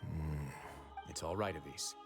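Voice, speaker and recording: A man playing a composed, authoritative duke in a video game, speaking in a measured, formal and courteous way. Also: very deep voice, in a large hall, over music. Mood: gentle, assuring. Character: composed, authoritative adult male duke investigating a secret society. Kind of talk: scripted video game voice acting.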